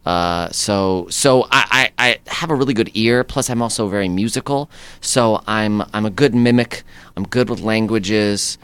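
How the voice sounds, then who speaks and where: Young man, Bay Area raised, interview guest; smooth resonant low voice, loose and chatty. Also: moderate pace, a bit bright, no room sound. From San Francisco Bay Area. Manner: easygoing, many fillers and restarts, laughs often. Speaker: guest comedian. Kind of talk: casual interview conversation.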